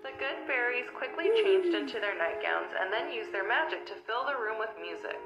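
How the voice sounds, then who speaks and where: A woman narrates a children's storybook with very animated, swooping delivery. Her voice sits a little high. It sounds roomy, replayed from a phone speaker, with faint music behind. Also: brisk. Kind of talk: expressive children's read-along narration.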